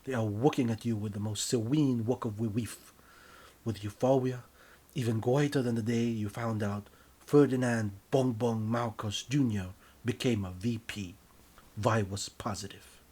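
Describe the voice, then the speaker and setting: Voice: mid-range voice, fairly even intonation, unhurried, warm tone, slightly rough. A narrator reading their own comic story in a mock-serious, theatrical, scripted style.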